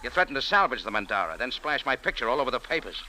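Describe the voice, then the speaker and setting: Tough American adventurer, sardonic, clipped, swinging pitch, noisy vintage radio drama sound. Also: male voice, sing-song, rapid-fire, bright, forceful tone. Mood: hard, accusing. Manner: hard-boiled, clipped, commanding. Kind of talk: old-time radio adventure acting.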